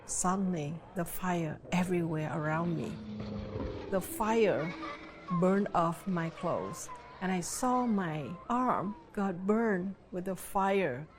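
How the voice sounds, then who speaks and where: A woman's voice: on the low side, drawn-out words, noisy background. A woman from Vietnam with a Vietnamese accent, giving personal testimony as a war survivor.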